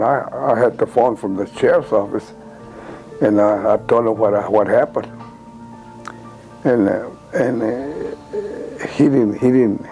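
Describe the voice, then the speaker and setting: An older man from Texas recalls events informally as an eyewitness, halting and repeating himself as he tells it. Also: fairly low pitch, creaky, rough recording. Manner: halting, repetitive, animated storytelling with "man" and "you know". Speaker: eyewitness interviewee. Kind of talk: informal spoken recollection.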